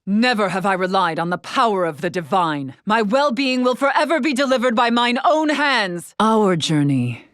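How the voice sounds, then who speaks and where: An actress playing a dignified, stern, scholarly woman in a fantasy video game, speaking in formal, archaic, measured phrases. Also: medium pitch, sing-song, fairly bright tone, dry recording, studio quality. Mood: solemn. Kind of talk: scripted video game character dialogue.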